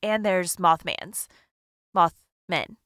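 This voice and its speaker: A woman's voice: lowish voice, extremely slow, fairly bright tone, no room sound, studio quality. An actress playing a curious, playfully skeptical human woman in an audio drama, rambling and wry in a naturalistic way. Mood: puzzled, rambling.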